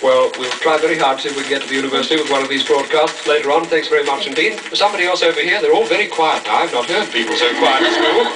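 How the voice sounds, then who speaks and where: A man's voice: fairly high pitch, racing, hardly any pauses, recorded from a distance, noisy background. A man interviewing in a genial, formal 1940s radio broadcast manner, on an old transcription recording. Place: school hall. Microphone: broadcast microphone.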